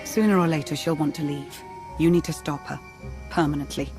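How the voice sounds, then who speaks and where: An actor playing an earnest, serious companion advisor in a video game. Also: male voice, a little high, steady tone, fairly quick, lightly acted. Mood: grave. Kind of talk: video game cutscene acting. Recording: game music behind the voice.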